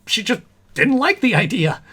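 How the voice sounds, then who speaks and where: A man's voice: extremely high pitch, very animated, normal speed, bright, forceful tone, slightly rough, heavily acted. A man acting a flustered, eager young husband and employee in an old-time radio sitcom, stammering and earnest. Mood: relieved, chatty.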